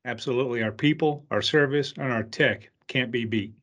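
A man's voice: medium pitch, moderate pace, a bit bright. A man hosting a podcast in a polished, upbeat way, reading an intro and asking interview questions. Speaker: podcast host.

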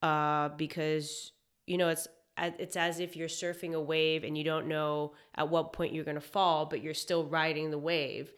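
A young woman from Hong Kong, a chef, talking reflectively in a casual interview with many pauses and fillers. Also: sits low, flat delivery. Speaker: guest, chef.

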